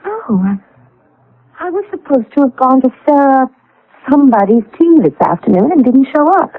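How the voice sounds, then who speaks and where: An actress playing a frightened, reluctant young wife in a radio drama, hesitant and stammering. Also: female voice, sits high, big pitch swings, moderate pace, exaggerated voice. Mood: troubled, curious. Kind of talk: old-time radio drama acting. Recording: thin, narrow-band vintage radio recording.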